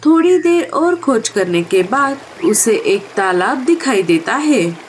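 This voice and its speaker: High-pitched, slow-paced woman storyteller. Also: big pitch swings, a little hiss or hum. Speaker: narrator. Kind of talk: scripted children's story narration.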